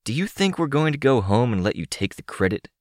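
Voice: medium pitch, very animated, a little fast, no echo, studio quality, voice pushed up, lightly acted. A narrator voicing an impatient, suspicious male rival hero in a barking, accusatory manner. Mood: irritated, impatient.

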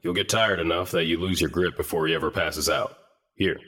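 A man playing a calm, instructive, protective male fighter in an audiobook, with a husky, measured delivery. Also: low-pitched. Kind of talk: audiobook character dialogue.

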